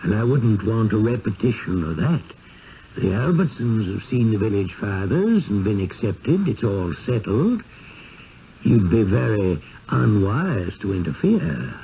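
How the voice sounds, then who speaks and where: Slow, creaky old village innkeeper. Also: male voice, on the low side, big pitch swings, exaggerated voice. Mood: quietly warning. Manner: measured, persuasive, quietly firm. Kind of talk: 1970s radio drama acting. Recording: dull, noisy old radio broadcast.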